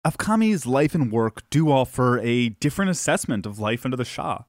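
A man's voice: medium pitch, expressive, moderate pace, dry recording. A man hosting and narrating a scripted history documentary. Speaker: podcast host and narrator.

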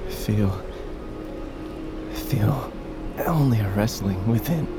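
A man's voice: on the low side, animated, slow, over music. A young man acting in an audio drama as a headstrong, vengeful grandson and warrior, speaking with earnest insistence. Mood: grieving. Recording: clearly noisy ambience behind the voice.

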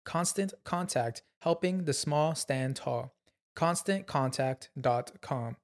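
A man reading a scripted promotional ad in a measured announcer's delivery. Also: fairly high pitch, slight background noise. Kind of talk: scripted radio ad read.

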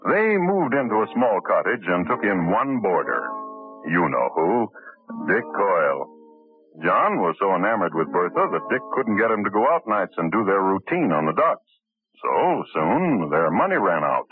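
A man's voice: sits low, very animated, normal speed, soft background music. A man narrating a crime story with a wry, storytelling manner in an old radio drama. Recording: tinny old radio broadcast, faint hiss.

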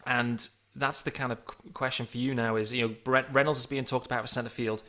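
A man's voice: medium pitch, little pitch movement, a little fast, pushed and bright. A man co-hosting a casual sports podcast, speaking in an easygoing, agreeable way. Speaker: podcast co-host.

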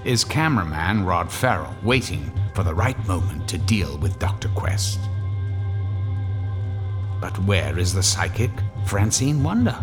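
An actor playing an ominous storyteller, narrating a horror drama in a suspenseful, deliberate manner. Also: male voice, low voice, very animated, over music. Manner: suspenseful, deliberate, drops toward whispers.

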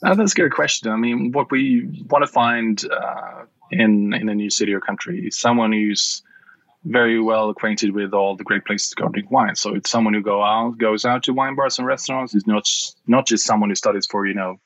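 A middle-aged man from Sweden with a Swedish accent, answering interview questions with many pauses and restarts. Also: lowish voice, fairly even intonation, a bit bright, no echo, lo-fi sound. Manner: hesitant, many fillers and restarts. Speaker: wine guide founder. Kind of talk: podcast interview.

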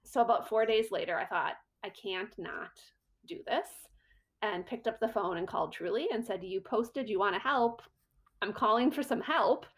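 A woman's voice: medium pitch, normal speed. A middle-aged woman, a costume designer, telling a story in casual interview talk and acting out her own quoted thoughts.

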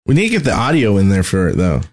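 A man's voice: fairly low pitch, big pitch swings, rapid-fire. A man co-hosting a casual gaming podcast, speaking informally with a teasing edge. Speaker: podcast co-host.

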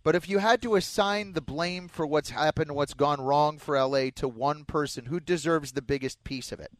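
A man's voice: on the high side, normal speed. A man from Denver working as a fill-in host on a sports talk radio show. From Denver, United States.

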